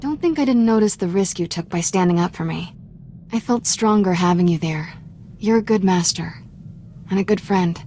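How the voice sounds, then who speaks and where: A woman plays a sardonic young trainee in a video game cutscene. Her voice is fairly low, flippant and casual, over noticeable ambient noise. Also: normal speed, darkish tone, lightly acted. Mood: earnest, wry. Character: sardonic young female Jedi apprentice, former street urchin.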